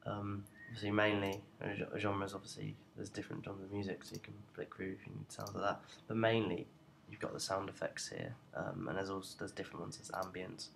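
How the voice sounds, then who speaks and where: A young adult man giving an informal, unscripted software tutorial in a hesitant, rambling way, as a presenter walking through each step. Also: deep voice, level tone, a little fast, creaky, few pauses. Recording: noticeable background noise.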